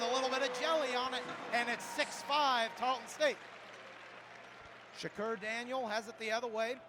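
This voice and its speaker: A man calling a game live as a radio play-by-play announcer. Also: very high voice. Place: indoor sports arena. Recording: noisy live sports arena broadcast.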